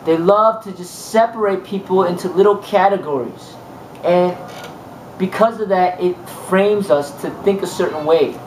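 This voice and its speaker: A man's voice: high voice, normal speed, slight background noise. Rambling man in an echoey room. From United States. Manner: rambling, many fillers and restarts. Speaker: martial arts instructor. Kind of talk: casual monologue.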